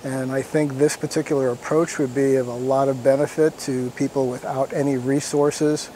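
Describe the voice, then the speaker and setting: A man in his fifties, an emergency physician, speaking in a halting, thoughtful way outdoors. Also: medium pitch, level tone, warm tone, a little hiss or hum, low-quality recording. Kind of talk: testimonial interview. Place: outdoors by a log cabin.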